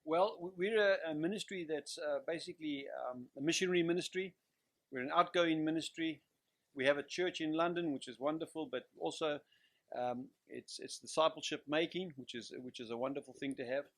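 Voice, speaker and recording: An older man from Central Africa with a southern African accent, a guest pastor giving personal testimony in a measured, hesitant way. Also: a little high, fairly bright tone, no room sound. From Central Africa (Zimbabwe, Zambia region).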